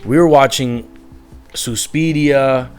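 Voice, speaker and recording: A man's voice: mid-range voice, slow, clear, resonant tone, soft background music. A young man, a musician guest, talking candidly in a rambling way, full of "like" fillers. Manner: rambling, heavy use of "like" as filler. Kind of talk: candid casual conversation.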